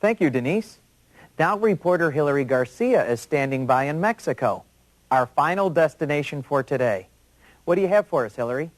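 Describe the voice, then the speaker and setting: A man's voice: fairly high pitch, expressive, moderate pace. Male anchor of a scripted magazine show. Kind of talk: scripted television presenting.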